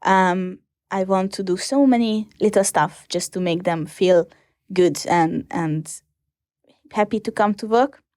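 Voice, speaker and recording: A young woman with a Hungarian accent, answering interview questions in a hesitant, casual way with many pauses and fillers. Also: medium pitch, a little mellow, dry recording, studio quality. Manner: hesitant, many ums and restarts. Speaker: interview guest.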